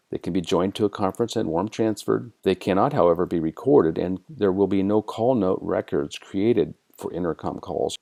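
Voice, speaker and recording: An American man narrating an instructional software screencast in a methodical, step-by-step way. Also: low voice, creaky. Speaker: software tutorial presenter.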